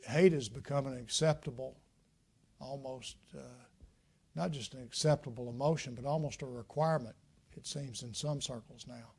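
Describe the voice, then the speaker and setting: An older man with a drawl talks to an audience in a lecture room, folksy and unhurried. His voice carries a gravelly edge and frequent "uh" pauses as he reflects on his answers. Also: mid-range voice, darkish tone. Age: 60s. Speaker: guest speaker, former state legislator. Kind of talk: public talk with audience questions.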